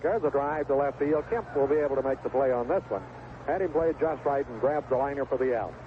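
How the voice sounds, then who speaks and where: A man calling live baseball play-by-play on the radio in a folksy, colorful way, recorded at the ballpark. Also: a little high. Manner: folksy, colorful turns of phrase. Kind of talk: live play-by-play sports commentary. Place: outdoor ballpark broadcast booth. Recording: tinny, noisy 1970s AM radio broadcast.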